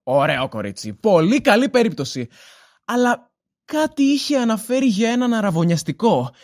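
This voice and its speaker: A man acts a nosy, upbeat young guy in a conversational audio drama. His pitch moves around a lot as he casually pries and cheers his friend on. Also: sing-song. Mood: prying. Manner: casual, prying, encouraging.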